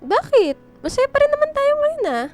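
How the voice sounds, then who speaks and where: An actress playing an affectionate, reassuring young girlfriend in a radio drama, with a sweet, expressive delivery. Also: very high-pitched, moderate pace. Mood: delighted. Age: young adult. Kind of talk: Filipino radio drama dialogue. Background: background music.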